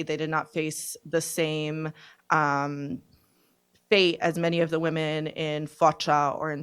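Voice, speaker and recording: A woman in her twenties giving personal testimony at a panel discussion, speaking in a halting, deliberate, reflective way. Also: sits low, fairly bright tone, clean tone. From born in Serbia, raised from infancy in Canada. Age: 20s. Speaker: panelist, refugee survivor. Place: conference event, speaking on a panel.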